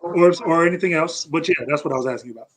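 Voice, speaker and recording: A man's voice: a little high, fast. An American man hosting a scripture study, talking reflectively and often searching for his words. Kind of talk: reflective Bible discussion.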